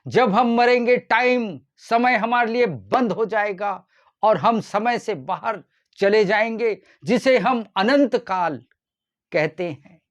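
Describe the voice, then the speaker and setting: A man preaching a short devotional sermon as a pastor, addressing his listeners in a deliberate manner. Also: high-pitched, highs cut off. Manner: deliberate, repeatedly addressing listeners as dear ones.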